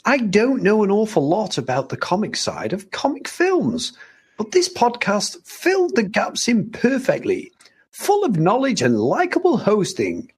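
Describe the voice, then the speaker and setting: A man's voice: high-pitched, wildly swooping pitch, measured pace, husky, pitched above the performer's own voice, exaggerated voice. A man putting on a posh, plummy male reviewer voice. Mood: genteel.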